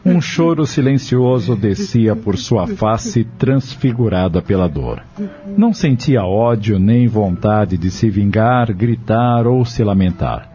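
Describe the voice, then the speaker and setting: A man's voice: fairly low pitch, animated, slow pace, dark tone, breathy edge. A man narrating a dramatic audio novel from a script in a solemn, literary manner. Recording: dull lo-fi audio with music behind. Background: music.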